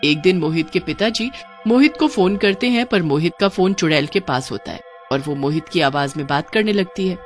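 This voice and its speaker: A woman's voice: fairly low pitch, expressive, moderate pace, faint music behind. A woman storyteller giving dramatic scripted narration of a cartoon horror story.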